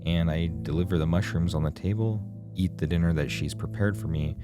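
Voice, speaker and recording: A man's voice: low voice, a little fast. A man reading scripted narration of a horror game in a dramatic, ominous storyteller manner. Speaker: video essay narrator. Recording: music behind the voice.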